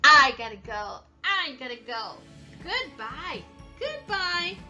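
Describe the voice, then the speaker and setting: Woman as a tiny determined female storybook butterfly, high-pitched, singsong, eager, music behind. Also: big pitch swings, slow pace, pushed and bright, full character voice. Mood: resolute. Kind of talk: children's picture-book read-aloud.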